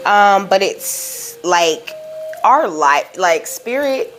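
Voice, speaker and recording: A woman's voice: medium pitch, animated, extremely slow, faint music behind, poor audio. A woman giving a casual psychic card reading, rambling and reassuring, peppering her talk with "you know" and "okay?" while passing on messages from Spirit. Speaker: psychic tarot reader.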